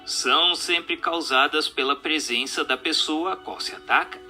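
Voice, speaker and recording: Um ator brasileiro interpretando uma voz solene e instrutiva numa radionovela espírita, em tom formal e declamatório. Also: male voice, fairly high pitch, measured pace, music in the background, lightly acted. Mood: solemn, instructive. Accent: Brazilian Portuguese. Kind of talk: scripted radio drama reading of a spiritist question-and-answer dialogue.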